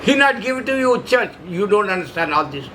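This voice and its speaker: High-pitched Indian man preaching. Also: sing-song, normal speed, a bit bright, a little hiss or hum. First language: Urdu. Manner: broken English, short emphatic phrases. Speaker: religious video maker. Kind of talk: solo preaching monologue.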